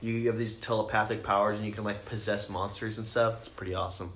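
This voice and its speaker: A man's voice: lowish voice, steady tone, quick pace, a bit bright. A man co-hosting a podcast, chatting casually about films and games in a rambling, profane way peppered with "like.". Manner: rambling, profane, heavy use of "like". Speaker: podcast co-host. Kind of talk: casual film and video game chat. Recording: roomy echo, clear background noise.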